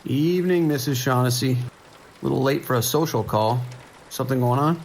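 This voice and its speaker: A man playing a calm, wry sheriff's dispatcher with a dry, reassuring manner in an audio drama. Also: mid-range voice, moderate pace, creaky, a little hiss or hum, lightly acted, poor audio. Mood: patient, reassuring. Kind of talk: naturalistic audio drama acting.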